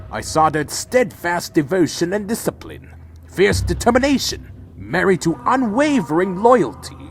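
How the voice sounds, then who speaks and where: A man's voice: high voice, exaggerated voice. A man playing a proud, brooding vampire warrior in a fan audio drama, speaking and narrating in a solemn, formal, dramatic way. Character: proud male vampire warrior, loyal to his clan, brooding. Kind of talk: audio drama dialogue and first-person narration.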